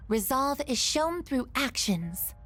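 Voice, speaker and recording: A high, clearly youthful female android from a video game. She is earnest and curious, choosing stiff, precise words with literal politeness over a faint music bed. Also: big pitch swings, takes it slowly, fairly bright tone, full character voice. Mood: puzzled, matter-of-fact. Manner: formal, stilted, precise wording.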